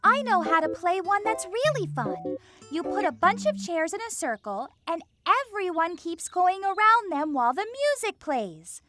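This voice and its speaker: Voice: very animated, normal speed, faint music behind, exaggerated voice. A performer voicing an eager, friendly child character in a kids' cartoon, explaining things simply in a childlike voice. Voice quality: high, bright, childlike. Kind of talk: animated kids' cartoon dialogue.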